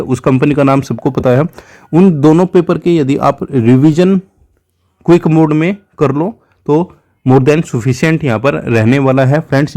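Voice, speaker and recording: A man's voice: medium pitch, dark tone. A man with an Indian accent giving an informal exam coaching lecture, instructive and repetitive, often checking in with short tags. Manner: Hindi mixed with English terms. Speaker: exam-prep teacher.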